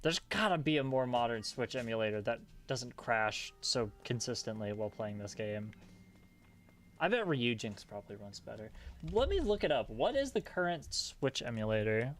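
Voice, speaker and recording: A young man streaming a game, chatting casually with a calm, relaxing voice, rambling loosely and swearing often. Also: mid-range voice. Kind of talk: casual gaming stream chat.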